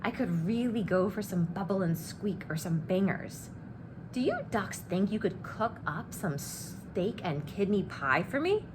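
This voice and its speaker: Woman reader as a brash male giant killer from a children's book, breezy and swaggering, swooping pitch, noisy room. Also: very animated, unhurried. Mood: complaining. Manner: boastful, breezy, persuasive. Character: cocky, greedy, scheming man, a storybook giant killer. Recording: clear background noise.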